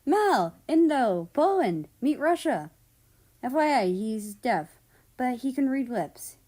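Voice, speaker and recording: An eager, friendly schoolboy acted out by a woman reading a story, pitched up and chatty. He sounds casual and energetic, with an exclamatory delivery. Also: takes it slowly, full character voice. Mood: chatty, introducing friends.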